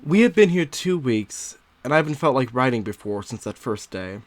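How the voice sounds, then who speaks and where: A man's voice: medium pitch, lively intonation, moderate pace, close to an everyday voice. Anxious young wife, acted out by a man, fretful storyteller. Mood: fretful, weary. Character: anxious confined young wife, a 19th-century diarist. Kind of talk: dramatic first-person audiobook reading.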